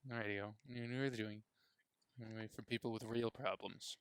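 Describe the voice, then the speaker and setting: A man's voice: lowish voice, steady tone, normal speed, clear, resonant tone, no echo. A man co-hosting a podcast in casual chat, rambling, joking and cutting himself off mid-sentence. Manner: rambling, self-interrupting, joking. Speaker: podcast co-host.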